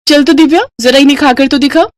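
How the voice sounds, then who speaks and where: A woman voicing a bossy, quarrelsome elder sister-in-law with a sharp-tongued, sarcastic way of scolding, in a family story. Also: sits high, steady tone, fast talker, no echo, clean studio sound, pitched above the performer's own voice, lightly acted.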